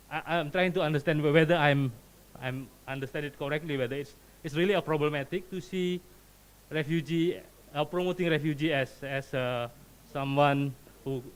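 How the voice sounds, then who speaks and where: A man's voice: a little high, rough recording. A man speaking hesitantly and tentatively as he puts a question from the floor, searching for his words. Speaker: audience questioner from an advocacy group.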